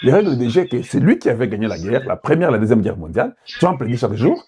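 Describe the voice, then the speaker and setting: Animated man in political commentary. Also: medium pitch, quick pace. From Congo. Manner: rhetorical, asks the audience questions, mixes in Lingala. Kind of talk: political commentary addressing viewers.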